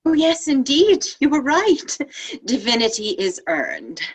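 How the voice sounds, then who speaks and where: A woman's voice: sits high, big pitch swings, unhurried, slightly rough, full character voice. A woman playing a worldly, candid dead soul awaiting judgment, matter-of-fact and formal, in a play read-through. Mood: knowing. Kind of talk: acting in a virtual table read.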